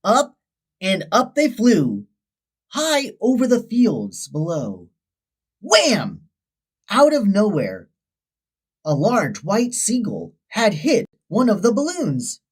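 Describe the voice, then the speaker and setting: Female storybook narrator, expressive, slow-paced and highly animated. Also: slightly rough. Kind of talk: scripted children's story read-aloud.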